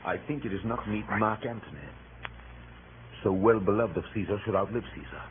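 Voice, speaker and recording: Male actor as urgent scheming Roman, declamatory, somewhat deep, on a tinny vintage radio drama recording. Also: a little fast, noisy background, natural voice. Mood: calculating. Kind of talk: 1930s-40s radio Shakespeare acting.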